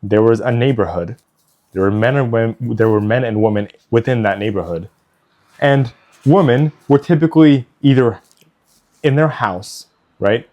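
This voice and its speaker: Young male co-host, dry deadpan delivery, methodical explainer, mid pitch. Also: moderate pace, dull audio. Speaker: podcast co-host. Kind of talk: casual podcast discussion.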